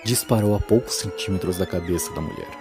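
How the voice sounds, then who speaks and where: A man's voice: on the low side, huge pitch swings, fast, over music. A man giving a solemn, dramatic scripted narration for a horror audio drama.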